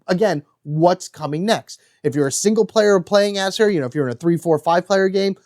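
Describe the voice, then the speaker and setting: A man's voice: high voice, a little mellow, dry recording, studio quality. A man with a nasal, stuffed-up voice from a cold, chatting enthusiastically through a card-by-card board game breakdown and reading card text aloud. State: congested with a cold.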